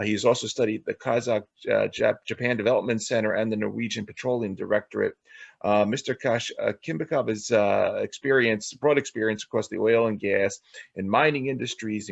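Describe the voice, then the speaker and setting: A man hosting an interview show, speaking in a halting way with stumbles and repeated words. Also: lowish voice, gravelly, breathy edge, dry recording, highs cut off. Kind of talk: podcast interview introduction, reading a guest biography.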